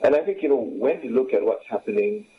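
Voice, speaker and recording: A professor joining an interview over a remote link, talking haltingly and filling with "you know" constantly. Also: male voice, mid-range voice, expressive. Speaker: professor, guest expert. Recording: tinny phone-like line.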